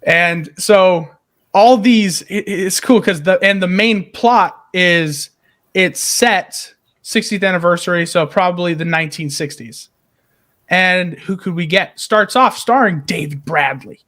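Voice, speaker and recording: A man co-hosting a podcast, excitedly pitching story ideas in casual, emphatic talk peppered with swearing. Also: a little slow. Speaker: podcast co-host.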